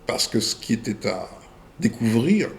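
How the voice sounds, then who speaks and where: A man with a rough, gravelly edge to his voice reads viewer letters aloud on his channel and answers them. His pitch rises and falls a lot. He talks slowly and carefully, in short clipped phrases broken up by pauses. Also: big pitch swings, a little hiss or hum. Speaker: video channel host.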